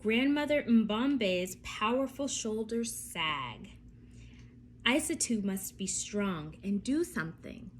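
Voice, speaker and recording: A woman reads a children's story aloud in a fairly high, very lively voice. She takes her time, leaving dramatic pauses and speaking directly to her young listeners. There is faint noise behind her. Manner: deliberate phrasing with dramatic pauses, addresses the listener. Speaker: picture book reader for children.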